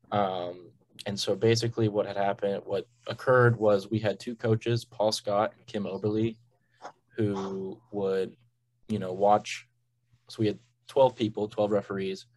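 A man's voice: fairly low pitch, a little mellow. A young man, a college freshman and referee, answering interview questions in an easygoing way. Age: young adult. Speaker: referee guest.

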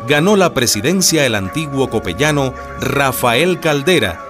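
A man narrates a radio drama. His mid-range voice moves in lively, dramatic contours with deliberate phrasing, over clearly audible music. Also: mid-range voice.

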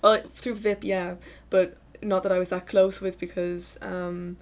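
A young woman hosts a casual student discussion. Her voice sits a little low and stays quite flat in melody, and she hedges as she thinks aloud. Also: measured pace. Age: young adult. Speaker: student host asking questions. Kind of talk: casual chat.

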